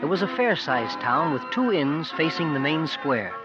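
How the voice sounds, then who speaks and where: Music plays around a dull old radio recording of a young traveling companion. His slightly high voice is earnest and storylike, in the manner of 1950s radio drama. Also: very animated, normal speed. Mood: descriptive, storytelling.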